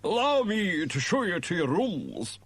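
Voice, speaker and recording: A man voicing a fearsome, blustering Scottish laird in a storybook, with a loud, gruff Scottish accent. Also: high voice, big pitch swings, a little slow, no room sound, pitched above the performer's own voice, heavily acted. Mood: oily politeness.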